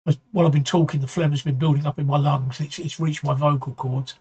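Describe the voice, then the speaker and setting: A man hosting a casual livestream chat, his voice going hoarse, speaking haltingly, repeating words and often clearing his throat. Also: fairly high pitch, steady tone, darkish tone, highs cut off. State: voice getting hoarse. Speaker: livestream talk show host. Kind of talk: casual livestream chat answering viewer comments.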